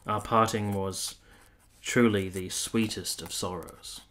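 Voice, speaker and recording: A man acting a courteous, reserved young army captain in a radio play, speaking in a formal, measured, literary way. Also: lowish voice, steady tone, fairly bright tone. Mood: sombre, reflective. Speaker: actor and narrator in a radio play. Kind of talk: literary first-person narration and radio drama dialogue.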